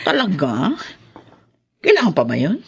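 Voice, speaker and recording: A woman's voice: fairly low pitch, wildly swooping pitch, measured pace, gravelly, darkish tone, slightly rough, heavily acted. An actress playing a possessive, disapproving Filipino grandmother in a radio drama, theatrical and prone to scolding and sighing. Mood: haughty, scolding. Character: possessive, disapproving grandmother, guilt-tripping her grown son.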